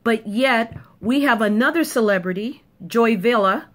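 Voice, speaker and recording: A woman's voice: a little high, unhurried. A woman delivering an emphatic, punchy opinion monologue to camera, repeating points for effect. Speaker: video commentator.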